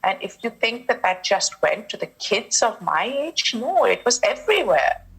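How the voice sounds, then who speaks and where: Casting: woman, middle-aged, slightly husky voice, reflective documentary interviewee. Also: on the high side, sing-song, normal speed, a bit bright, low-quality recording. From Britain, London. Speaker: interviewee recalling childhood. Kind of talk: reflective personal recollection.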